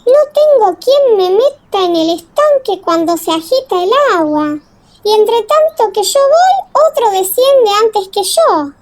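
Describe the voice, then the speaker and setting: A woman's voice: extremely high pitch, big pitch swings, unhurried, darkish tone, voice pushed up, heavily acted. A woman voicing a frail, long-sick man, helpless and pleading, in an audiobook radio drama. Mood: plaintive, helpless.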